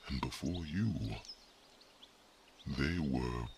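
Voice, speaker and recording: An ancient, wise guardian, as a man whispers him speaks in an extremely deep, gravelly hush. His counsel comes slow and measured, with a bright edge to the breath. The ASMR roleplay stays grave and unhurried throughout. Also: a little hiss or hum, exaggerated voice. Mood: solemn counsel.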